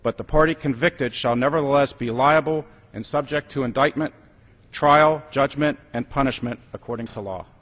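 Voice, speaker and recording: A man's voice: medium pitch, normal speed, a bit bright. An American congressman giving a formal reading of a legal text in a large chamber. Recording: reverberant legislative chamber.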